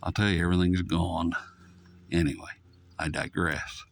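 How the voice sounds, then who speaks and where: A man's voice: low-pitched, flat delivery, moderate pace, creaky. A man casually reviewing a shortwave radio in a folksy, rambling way that drifts off topic. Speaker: radio reviewer, channel host. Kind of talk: casual, unscripted product review.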